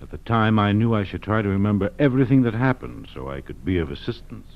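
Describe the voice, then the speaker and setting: An actor playing an earnest kidnap victim in a 1940s radio crime drama, speaking haltingly and carefully. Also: male voice, fairly low pitch, natural voice. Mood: hesitant, earnest. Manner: halting, careful, eager to help. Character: earnest male kidnap victim recalling his captivity. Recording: muffled vintage radio broadcast.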